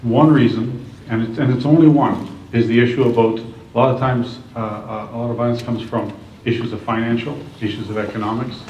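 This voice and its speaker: A man's voice: medium pitch, fairly even intonation, a little fast, darkish tone. A man speaks as a candidate at a public debate, earnest and repetitive, and lays out his points in lists. The recording is dull and echoey and comes from a distance. Faint noise sits under it. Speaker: political candidate. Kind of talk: debate answer. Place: public forum hall.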